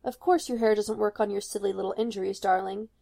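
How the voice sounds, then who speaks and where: A woman's voice: mid-range voice, fairly even intonation, fast, creaky, mellow. A woman voicing a controlling mother who talks down sweetly. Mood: sweetly scolding. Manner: condescending, falsely sweet. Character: sugary controlling mother, middle-aged woman.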